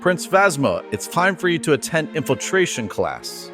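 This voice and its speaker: Royal chamberlain creature played by a man: patient, deferential, formal storybook servant, fairly high male register, very animated intonation. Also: moderate pace, over music. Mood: formal, insistent. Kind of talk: scripted audiobook character dialogue.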